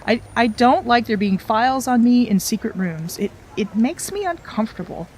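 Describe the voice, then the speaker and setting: An actress playing a composed, courteous but firm medical woman in an audio drama, speaking in a measured way. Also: fairly high pitch, animated, darkish tone, slight background noise, close to an everyday voice. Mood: reflective. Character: composed, polite, firm female medical professional. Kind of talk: audio drama acting with reflective narration.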